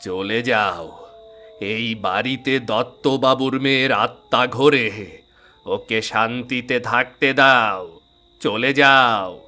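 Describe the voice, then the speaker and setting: Slow, stern old village man played by a man. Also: mid-range voice, pushed and bright. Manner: slow, drawn-out warnings. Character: stern, fearful old village man guarding haunted house.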